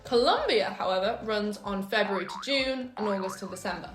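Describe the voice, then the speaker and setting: A woman's voice: medium pitch, fairly quick, over music. Upbeat woman co-hosting, roomy echo. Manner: upbeat, laughs often, counts off points. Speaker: co-host. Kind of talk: casual scripted chat.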